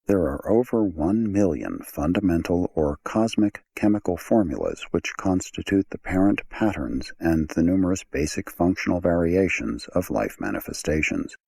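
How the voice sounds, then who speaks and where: A man narrates a scripted audiobook in a very deep voice. It is gravelly and husky in texture. His delivery is measured and formal. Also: lively intonation, dark tone.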